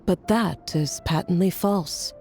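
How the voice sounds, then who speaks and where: Low-voiced sardonic woman schemer. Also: takes it slowly, darkish tone. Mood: smug, taunting. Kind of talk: dramatic video game voice acting. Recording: music playing behind the voice.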